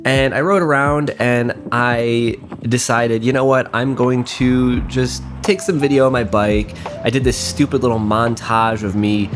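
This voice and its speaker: A man with a cold and a nasal voice, rambling through a casual, self-deprecating vlog voiceover. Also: mid-range voice. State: congested from a cold. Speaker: photography vlogger. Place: voiceover recorded indoors. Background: guitar music.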